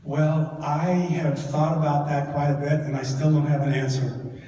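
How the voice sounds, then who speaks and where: A man's voice: a little high, level tone, measured pace, dark tone. A man giving a guest talk and answering audience questions in a church hall. He often stumbles and repeats words as he thinks. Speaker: guest speaker. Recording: echoey hall from far off.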